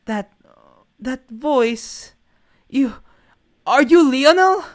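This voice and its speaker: A woman's voice: high voice, expressive, very slow, pitched above the performer's own voice, heavily acted. A woman voicing a vain, easily rattled male creature with a mane, stammering as a reluctant phone worker, over music. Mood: frightened.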